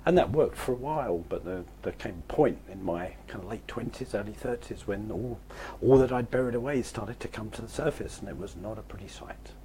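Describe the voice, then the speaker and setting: Croaky, hoarse middle-aged man. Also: lowish voice, expressive, brisk, dark tone, a little hiss or hum, low-quality recording. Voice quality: croaky, breaking into breathy whisper. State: hoarse, voice nearly gone. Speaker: host sharing personal reflections. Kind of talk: informal reflective talk to camera.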